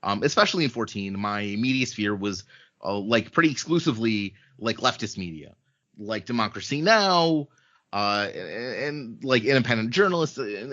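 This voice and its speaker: A man from Ukraine speaks as a podcast guest, blunt and heated, with plenty of swearing and filler words. His voice is bright and forceful at a middling pitch. The remote audio sounds dull and lo-fi. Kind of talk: casual podcast conversation.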